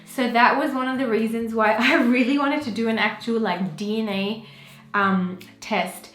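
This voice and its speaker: A woman's voice: on the high side, fairly even intonation, normal speed, fairly bright tone. A young woman from Pakistan vlogging casually to camera, chatty and full of fillers like "um" and "you know". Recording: echoey room with faint music.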